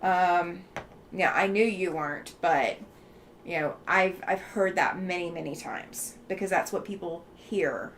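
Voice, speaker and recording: A woman's voice: on the low side, unhurried, slight background noise. A woman from the Southeastern US with a faint Southeastern accent, hosting a casual livestream and chatting back to viewer comments. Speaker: livestream host.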